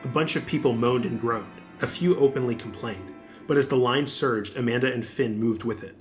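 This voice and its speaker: A man's voice: mid-range voice, moderate pace, faint music behind. A man narrating a scripted adventure audiobook as the storyteller.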